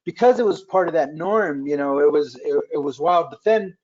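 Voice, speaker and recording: A man hosting a webcast interview in casual chat, halting with many fillers and restarts. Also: on the high side, darkish tone, slightly rough. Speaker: webcast host. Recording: dull lo-fi webcast audio.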